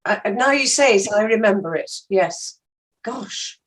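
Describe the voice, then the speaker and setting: A woman, an actress being interviewed, reminisces casually in a measured, precise and polite manner. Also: medium pitch, expressive.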